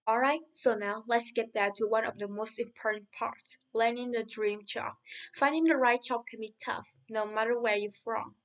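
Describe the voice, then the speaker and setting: A man's voice: high voice, steady tone, moderate pace, fairly bright tone, rough recording. A man doing an upbeat, friendly podcast host. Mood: cheerful. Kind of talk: scripted interview for English learners.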